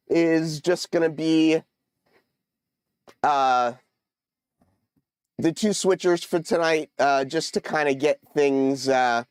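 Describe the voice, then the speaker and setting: A man with a high, smooth voice hosts a casual livestream with a stuffy, congested nose. He chats with viewers in a halting way, full of fillers and restarts. The sound is dry and close. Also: darkish tone, no echo. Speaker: livestream host. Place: home model railroad room.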